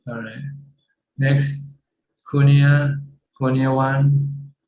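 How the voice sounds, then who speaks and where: A man's voice: medium pitch, flat delivery, extremely slow, darkish tone, highs cut off. A man, a Buddhist monk with a Thai accent, patiently explaining Buddhist practice over a video call, often repeating words as he goes. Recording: echoey video call audio.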